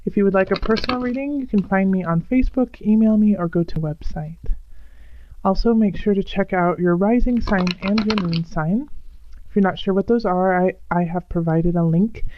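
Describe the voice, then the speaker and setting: Hoarse raspy woman, tarot reading, mid-pitched voice, calm slow delivery, dull lo-fi sound. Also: slight background noise. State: hoarse from bronchitis. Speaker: tarot reader.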